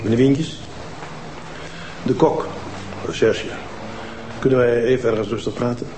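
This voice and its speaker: Actor as calm detective, mid-pitched, even questioning, room echo and background noise. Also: male voice, measured pace, close to an everyday voice. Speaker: police detective. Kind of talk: TV crime drama acting.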